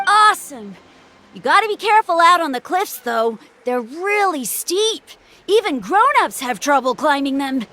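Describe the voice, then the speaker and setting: An outspoken young child. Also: female voice, high voice, sing-song, normal speed, pushed and bright, full character voice. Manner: outspoken, indignant. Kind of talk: video game voice acting.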